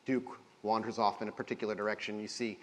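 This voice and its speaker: A man's voice: on the low side, flat delivery, fast talker, a bit bright. A man working as game master, narrating a tabletop horror game live with dramatic, descriptive storytelling. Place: live stage at a gaming convention. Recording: echoey hall, live convention show.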